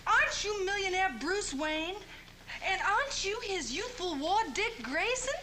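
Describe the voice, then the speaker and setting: A woman's voice: very high-pitched, expressive, a little slow, bright, forceful tone, a little hiss or hum, heavily acted. An actress playing a folksy, coaxing cowgirl with an in a 1960s television comedy. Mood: forward. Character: folksy, wheedling cowgirl, sweetly scheming villain's accomplice. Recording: old television soundtrack with music underneath.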